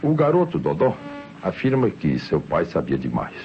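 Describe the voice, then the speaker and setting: Deep-voiced Brazilian police commissioner. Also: male voice, huge pitch swings, exaggerated voice. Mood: musing. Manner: deliberate, formal, explanatory. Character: male police commissioner, thoughtful, methodical investigator. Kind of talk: old radio mystery serial acting. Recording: muffled, noisy vintage radio drama.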